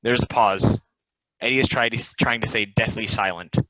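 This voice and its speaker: A man's voice: on the low side, steady tone, normal speed, pushed and bright, dry recording, highs cut off. A man reading out stage directions as scripted narration for a play table read.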